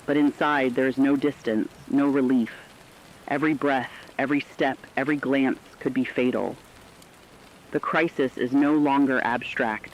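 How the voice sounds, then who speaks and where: Through a dull, lo-fi radio sound with light rainy noise comes a man acting as a medium-pitched news analyst. The reporting is solemn, formal and emphatic, in the manner of a scripted apocalypse radio drama. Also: steady tone, normal speed, natural voice. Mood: somber, urgent. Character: somber radio news analyst describing human toll of apocalypse.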